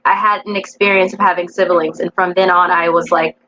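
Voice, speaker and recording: A woman's voice: fairly low pitch, flat delivery, a little fast, pushed and bright. A woman from the East Coast of the United States, a cybersecurity educator, telling her story earnestly as an interview guest. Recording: slightly dull sound with faint noise.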